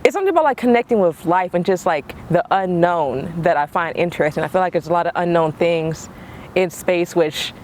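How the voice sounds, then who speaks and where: A young man with a high, lively voice that swoops around freely as he talks. He was born in Hawaii and grew up in Georgia, and he sits as the guest in a laid-back interview. He keeps it easygoing and slangy, and he laughs often. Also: a little fast, a little hiss or hum. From born in Hawaii, raised in Georgia, US. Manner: relaxed and slangy, laughs easily. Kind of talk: casual interview chat.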